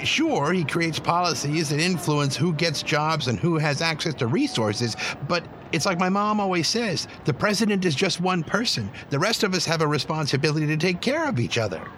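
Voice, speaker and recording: Man as an eleven-year-old boy, very high voice, proud, idealistic, chatty slangy delivery, audio drama, faint background noise. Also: animated, moderate pace, few pauses, a bit bright. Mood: frank, opinionated. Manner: chatty, informal, youthful filler-laden talk.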